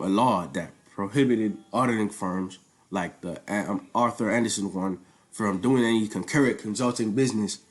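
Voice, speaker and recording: A young man with a Nigerian accent giving an informal presentation in a conversational, slangy way, repeating points for emphasis. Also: fairly low pitch. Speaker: student presenter. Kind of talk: informal spoken project presentation.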